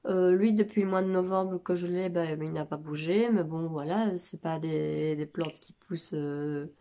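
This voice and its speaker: A woman in her thirties with a cold and a nasal voice, chatting casually about her houseplants at home, pausing often with filler sounds. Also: low-pitched, dark tone. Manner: hesitant, many filler sounds. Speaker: plant vlogger. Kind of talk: casual chat. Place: home living room.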